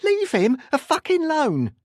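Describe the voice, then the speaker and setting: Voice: medium pitch, very slow, gravelly, no echo, studio quality, voice pushed up. A narrator voicing a protective, distraught working-class mother who protests and pleads. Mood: angry protest.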